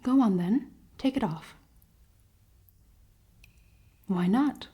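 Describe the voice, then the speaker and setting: A woman playing a cold-hearted, commanding elven queen in a fantasy ASMR roleplay, soft and often whispering, with formal, teasing speech. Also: mid-range voice, animated, a little slow, slightly rough. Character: cold-hearted, dominant elven queen, haughty, secretly caring.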